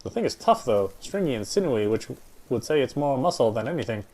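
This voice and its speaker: Guy reading visual novel narration out loud, mid pitched voice. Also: sing-song, quick pace. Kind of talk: reading first-person story narration aloud.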